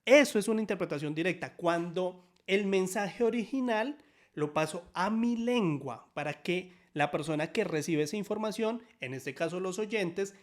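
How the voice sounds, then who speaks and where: A man teaching a sign language lesson in Spanish, upbeat and explanatory, often checking in with quick questions. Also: high-pitched.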